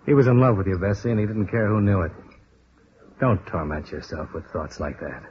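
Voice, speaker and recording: An actor with an American accent playing a tough, world-weary insurance investigator with a sympathetic side, in clipped 1950s radio detective style. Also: male voice, low voice, expressive, a little fast, a little hiss or hum. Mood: gentle, steady. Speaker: lead actor and narrator. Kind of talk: 1950s radio detective drama acting, first-person narration. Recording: dull vintage radio recording with music.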